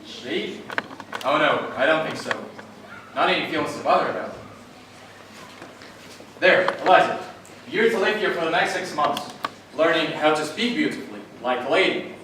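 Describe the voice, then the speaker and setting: A lordly, dismissive professor, played by a man, his voice set a little high and clipped. It comes from afar in a very echoey, noisy old recording. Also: lively intonation, normal speed, pauses often, far from the mic, close to an everyday voice. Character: brusque arrogant male phonetics professor.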